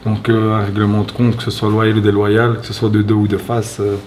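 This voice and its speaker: A low-voiced man answers an interviewer slowly and thoughtfully, stopping and restarting as he searches for words. Faint ambience hums in the background. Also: monotone, a little mellow, a little hiss or hum. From Belgium. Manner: thoughtful, halting, many fillers and restarts. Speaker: guest, retired fighter. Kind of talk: reflective interview talk.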